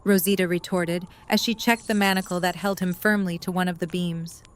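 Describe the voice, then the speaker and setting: A woman's voice: on the low side, steady tone, a little fast. A woman reading scripted third-person narration for an audio drama.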